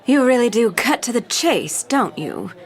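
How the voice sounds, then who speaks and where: A woman's voice: a little high, very animated, measured pace. An actress playing a glamorous, self-assured female gang leader with a playful, mocking, flirtatious drawl. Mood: teasing. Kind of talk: dramatic audio-drama acting.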